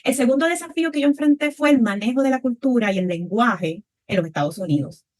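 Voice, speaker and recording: Puerto Rican woman panelist, thoughtful and steady, voice on the high side. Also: quick pace. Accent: Puerto Rican Spanish. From Puerto Rico. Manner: reflective, frequent tag questions. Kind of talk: panel discussion answer.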